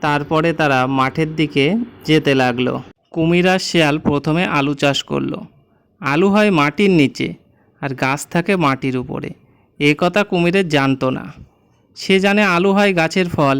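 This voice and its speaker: Smooth, clear folktale narrator. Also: medium pitch, measured pace, a bit bright. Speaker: storyteller, narrator. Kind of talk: scripted children's folktale narration.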